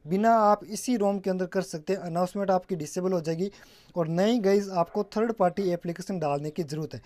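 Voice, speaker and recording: A man's voice: high-pitched, fairly quick, a little mellow. A man presenting a casual step-by-step tech tutorial, often addressing viewers as guys and mixing in English terms.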